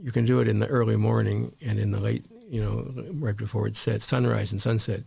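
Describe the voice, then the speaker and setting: A man speaking as an interview guest and meditation teacher, in a conversational, halting way that repeats words and phrases. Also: lowish voice, steady tone, gravelly, dark tone.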